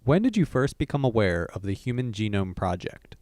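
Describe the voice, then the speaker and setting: A man's voice: on the low side, sing-song, a little fast, darkish tone, dry recording. Dry-sounding male interviewer. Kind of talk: scripted interview questions.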